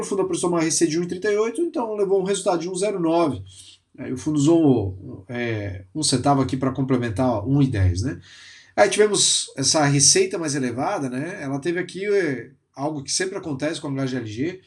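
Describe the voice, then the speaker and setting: A man's voice: on the high side, darkish tone. A man from Brazil with a cold, chatting casually about a fund report as a finance YouTuber and dropping frequent filler tags. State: has a cold.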